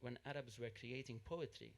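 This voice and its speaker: A man's voice: medium pitch, normal speed, vocal fry. A man with a mid-range voice speaks up from the audience at a panel. He explains his point earnestly and steadily, correcting what was said before. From Lebanon. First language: Arabic. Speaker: audience member.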